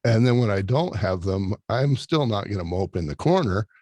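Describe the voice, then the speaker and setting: Deep-voiced male podcast host, reflective and encouraging, close dry sound. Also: expressive, normal speed, warm tone, no echo. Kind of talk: interview hosting.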